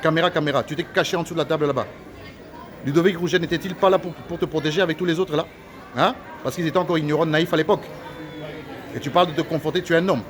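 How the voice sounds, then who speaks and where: A man's voice: a little high, steady tone, fast talker, a faint crowd. Preachy Lingala-native man ranting outdoors. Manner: accusatory, preachy, repeats himself, many filler "euh". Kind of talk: heated preachy rant vlog.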